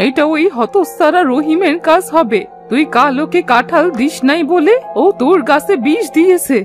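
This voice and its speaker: A woman's voice: high-pitched, normal speed, lightly acted. A woman voicing a wise, kindly old village granny in a Bengali cartoon folktale, knowing and emphatic, over music. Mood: knowing, concerned. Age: older. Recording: music behind the voice.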